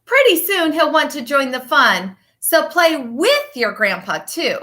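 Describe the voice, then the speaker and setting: A woman with a fairly high, strikingly bright voice reads aloud for young pupils. She speaks as a teacher would, expressively and emphatically, shaping phrases with deliberate pauses. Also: sing-song. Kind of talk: children's picture book read-aloud and chat to pupils.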